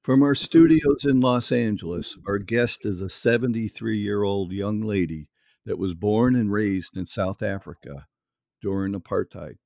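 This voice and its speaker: Deep-voiced guy hosting an interview, slow and deliberate, dry studio sound. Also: creaky, darkish tone, dry recording. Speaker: interview host.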